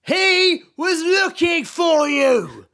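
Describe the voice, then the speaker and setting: A man voicing a loud, cheerful bus driver hollering across traffic in drawn-out shouted words, in a comic anecdote. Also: very high voice, very animated, creaky, pitched above the performer's own voice, exaggerated voice. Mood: insistent yelling.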